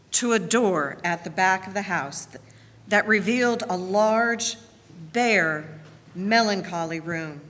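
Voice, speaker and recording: A woman's voice: medium pitch, fairly bright tone. A woman narrating a scripted dramatic reading as a storyteller. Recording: reverberant hall, faint noise.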